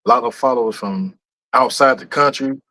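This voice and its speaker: Male guest, dry close mic, eager and insistent slang-filled pitch. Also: huge pitch swings, moderate pace, gravelly, sharp tone, no room sound. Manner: eager, pushy advice with slang. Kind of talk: casual chat.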